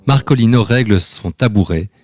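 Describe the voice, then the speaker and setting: Low-voiced man narrating a children's story. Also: moderate pace. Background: instrumental music.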